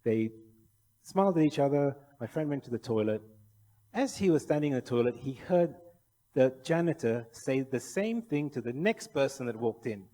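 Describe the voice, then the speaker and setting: A man's voice: mid-range voice, lively intonation, moderate pace, mellow. A man from Malaysia giving a persuasive conference talk, putting rhetorical questions to his audience. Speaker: conference speaker, kennel federation official. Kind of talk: conference presentation. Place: large indoor conference hall. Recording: echoey hall with long reverb.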